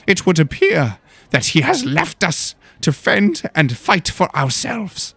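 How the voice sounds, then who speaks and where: A man's voice: fairly high pitch, huge pitch swings, slow, vocal fry, a bit bright, husky, no room sound, pitched above the performer's own voice, heavily acted. A man voicing a pompous governor giving a grand, declamatory speech to nobles in a fantasy audio drama. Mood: grand, fervent. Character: pompous male governor addressing his noble guests.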